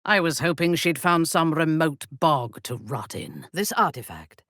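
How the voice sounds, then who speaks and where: Disdainful female warrior cleric, husky, slow and deliberate, widely swooping pitch, cruel, imperious, fantasy game. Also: very animated, fairly bright tone, no room sound, studio quality, exaggerated voice. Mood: cold disdain. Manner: commanding, haughty, deliberate, theatrical. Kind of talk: fantasy video game voice acting.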